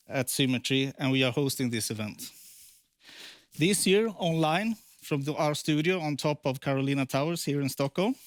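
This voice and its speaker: A man's voice: a little high, dry recording, clean studio sound. A man giving a formal welcome as the host of an event. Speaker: company director, event host.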